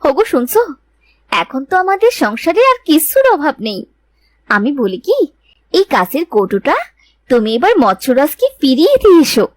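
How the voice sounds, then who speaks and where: A woman's voice: high voice, very animated, moderate pace, pauses often, no echo. A woman playing a bossy but good-hearted village wife in a cartoon folk tale, exclaiming, scolding and coaxing. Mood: earnest.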